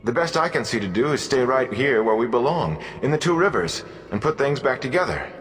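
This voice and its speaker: A man's voice: medium pitch, big pitch swings, brisk, a bit bright, big-room sound, faint music behind, voice pushed up. A man voicing an anxious young farmhand who protests in a raised, urgent voice. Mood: protesting.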